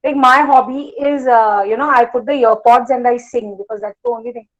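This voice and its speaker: A woman of about forty with an Indian accent and a hoarse voice, giving a blunt, emphatic motivational talk full of personal anecdotes. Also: a little high, steady tone, a little fast, dull audio. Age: late 30s or around 40. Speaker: motivational speaker.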